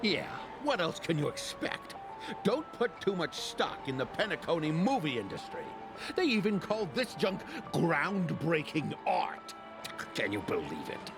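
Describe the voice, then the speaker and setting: Creaky, wildly swooping old grumbler. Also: male voice, on the high side, wildly swooping pitch, a little slow, full character voice. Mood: jaded, scornful. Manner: cynical, world-weary, dismissive drawl. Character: jaded, grumbling older man in a dream city. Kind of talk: video game voice acting. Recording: music under the voice.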